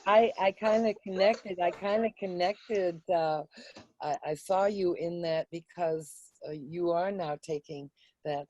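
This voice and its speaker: A woman's voice: deep voice, dark tone, dry recording. A woman chatting casually in an online group meeting, speaking in an encouraging and personal way. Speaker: discussion participant.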